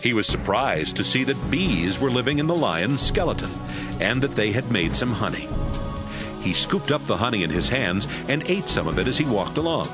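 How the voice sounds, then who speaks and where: A man narrating a Bible story in a steady, storytelling read. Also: mid-range voice, normal speed, loud music behind the voice. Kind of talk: scripted scripture narration.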